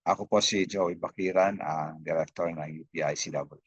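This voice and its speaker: A Filipino man giving unscripted opening remarks as a host, halting often with hesitations and broken-off words. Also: deep voice, steady tone, dry recording, muffled recording. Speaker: host, institute director.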